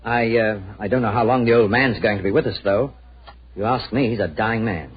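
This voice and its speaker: A man's voice: lowish voice, a little fast, lightly acted. An actor playing a courteous, worried young British nobleman in a 1940s radio drama. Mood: earnest. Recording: dull old radio recording, faint noise.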